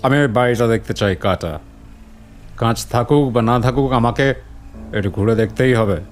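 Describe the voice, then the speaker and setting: A man playing a curious, uneasy friend in a horror audio story, speaking in a halting, inquisitive way. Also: medium pitch, faint music behind, natural voice. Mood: eager, shaken. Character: curious, uneasy male friend questioning an eerie tale.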